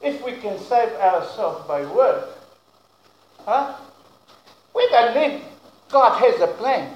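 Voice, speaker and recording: A man preaching a sermon as pastor, speaking English as a second language with broken grammar, loud and emphatic, slowing down to stretch key words. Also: sits high, very animated, highs cut off, a little hiss or hum. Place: church. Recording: very echoey room.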